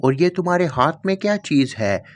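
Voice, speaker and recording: A man's voice: a little high, animated, a little fast, voice pushed up. A man voicing a generous, hearty rich landlord in a cartoon story.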